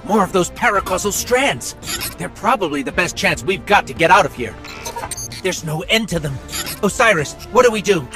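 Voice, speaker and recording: A small floating robot companion in a video game, curious and earnest. The voice is fairly high and very animated, alert as it explains things, with music playing underneath. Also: male voice, moderate pace. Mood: worried. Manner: expressive, alert, informative.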